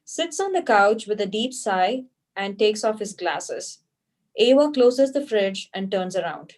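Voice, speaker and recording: Woman, scripted narration, stage directions, neutral delivery, medium pitch, amateur table read. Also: lively intonation, unhurried, a little mellow. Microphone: video call.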